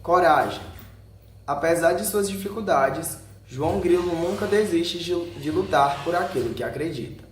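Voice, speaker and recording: A Brazilian man delivers scripted narration in a voice pitched a little above average. He reads section by section, now and then tripping on a word. The sound is noticeably roomy, with faint noise underneath. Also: moderate pace. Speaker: video narrator. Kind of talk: scripted narration read aloud.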